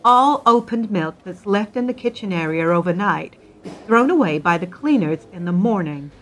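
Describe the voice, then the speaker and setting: A woman's voice: mid-range voice, lively intonation, moderate pace, a little hiss or hum, natural voice, rough recording. A woman acting a dutiful, fussy office secretary in an amateur radio play, polite and a little scatterbrained.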